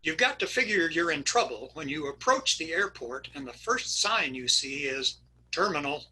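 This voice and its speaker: Man telling a wry family story, real bright sharp tone, little husky. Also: fairly high pitch, lively intonation, normal speed, low-quality recording. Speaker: narrator. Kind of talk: reader's theater narration. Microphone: video call.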